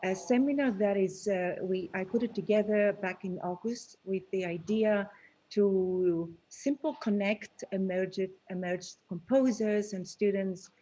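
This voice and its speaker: A woman who is a professor opens a seminar on a video call, speaking hesitantly with many pauses and fillers. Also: medium pitch, mellow, dull audio. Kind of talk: casual video call introduction.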